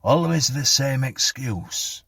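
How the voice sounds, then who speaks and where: A man voicing a kindly, weary policeman in a storybook, blunt and gently scolding. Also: fairly low pitch, very animated, measured pace, husky, dry recording, heavily acted. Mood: concerned. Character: kindly, weary male policeman on night beat.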